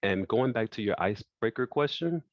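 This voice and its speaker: A man born in France, with French as his first language, moderating an online panel over a video call and talking in a halting way that repeats phrases. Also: on the low side, lively intonation, dry recording. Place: indoor room by a wall. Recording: dull lo-fi video call audio.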